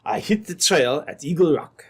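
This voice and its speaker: A man playing an earnest French-Canadian trapper with a French accent in an old-time radio drama, speaking in a deliberate, dramatic way. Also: on the high side, animated, breathy edge.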